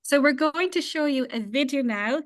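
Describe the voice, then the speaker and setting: A woman, hosting a travel presentation in a quick, eager way. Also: high voice. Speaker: travel company owner and host. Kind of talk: webinar presentation.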